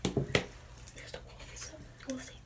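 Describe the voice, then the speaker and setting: A woman reading children's storybooks in a soft, breathy whisper, gently commenting on the pictures between pages. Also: slow pace, distant mic. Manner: gentle, with quiet asides on the pictures. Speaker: storybook reader.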